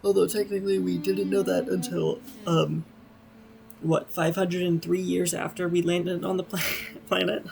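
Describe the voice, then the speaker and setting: A man's voice: high voice, little pitch movement, moderate pace, vocal fry, darkish tone. A man streaming a game, chatting casually and wryly about the story. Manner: chatty, wry, joking.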